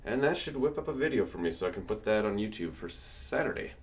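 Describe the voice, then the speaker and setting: A man's voice: lowish voice, lively intonation, quick pace. A man streaming his music production at a home studio, chatting casually and thinking aloud while he works, with keyboard typing behind him. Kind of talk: casual livestream commentary.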